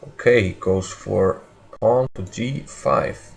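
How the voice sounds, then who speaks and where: A man in his thirties giving live chess commentary from a small room at his desk, thinking aloud over moves in a halting way. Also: lowish voice, vocal fry, husky.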